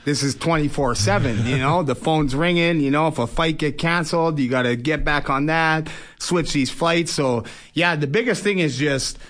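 A man's voice: a little high, moderate pace, few pauses, fairly bright tone. A man speaking informally as an interview guest, a fighter and promoter, peppering his talk with "you know". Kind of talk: casual sports interview.

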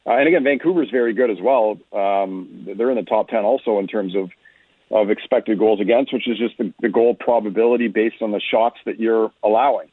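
A man's voice: fairly low pitch, a little fast, breathy edge, no echo. Male hockey analyst on a phone-like line. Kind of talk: conversational sports analysis. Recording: tinny phone-like radio line.

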